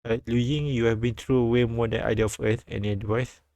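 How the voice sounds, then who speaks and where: A man streaming a live read-aloud of a visual novel, halting and repeating lines as he goes. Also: medium pitch, steady tone, a little mellow, dry recording.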